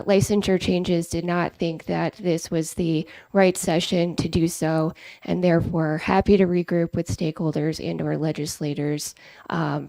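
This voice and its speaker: A woman's voice: low-pitched, creaky, dark tone, dry recording. A woman, an agency executive director, testifying at a committee hearing in careful, bureaucratic phrasing with frequent ums. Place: legislative committee hearing room. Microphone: table microphone.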